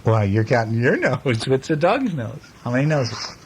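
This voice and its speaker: Coaxing dad on a noisy home recording. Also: male voice, medium pitch, sing-song, normal speed. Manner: coaxing, praising and prompting with questions. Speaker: father reading to his young children. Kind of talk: casual picture-book chat with small children, asking questions.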